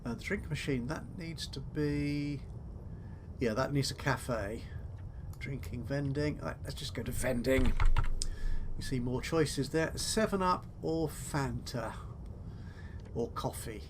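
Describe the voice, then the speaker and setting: Male gaming host, mid-pitched, chatty, talks to himself, faint noise behind. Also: takes it slowly, pauses often. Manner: chatty, thinking aloud, talking to himself. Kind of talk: casual let's play commentary.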